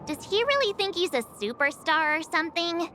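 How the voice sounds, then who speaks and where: An actress voicing a perky, outspoken teenage girl adventurer in a video game, bubbly, informal and expressive. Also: extremely high pitch, normal speed, a little hiss or hum, exaggerated voice. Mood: exasperated.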